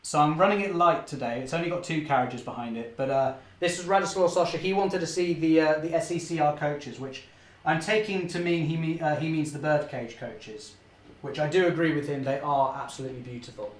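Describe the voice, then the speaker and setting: A young man, slightly hoarse, chatting cheerfully through a live model railway session and correcting himself often. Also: a little high, fairly quick, hardly any pauses, roomy sound. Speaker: model railway channel host. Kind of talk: live-stream running commentary.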